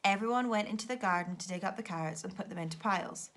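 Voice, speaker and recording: A woman teacher reading a children's story aloud with expressive narration. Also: mid-range voice, quick pace.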